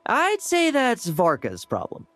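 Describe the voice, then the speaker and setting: A woman's voice: fairly high pitch, huge pitch swings, a little slow, a bit bright, no room sound, heavily acted. A woman playing a casual, teasing, flippant young adventurer in a video game, with music behind her.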